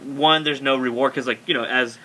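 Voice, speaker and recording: A man's voice: medium pitch, steady tone, a little fast, bright, forceful tone, rough recording. A man chatting casually as a panelist on a podcast. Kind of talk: casual chat. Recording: faint background noise.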